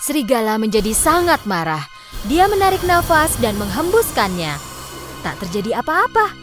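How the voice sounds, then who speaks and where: Female children's story narrator: higher register, very animated scripted read, backed by music. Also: normal speed.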